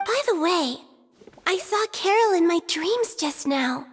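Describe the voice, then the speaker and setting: Small innocent game creature, high soft childlike voice, polite and hesitant. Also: female voice, lively intonation, unhurried, big-room sound. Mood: wistful, hesitant. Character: small gentle female fairy creature, innocent, forgetful. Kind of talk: video game voice acting.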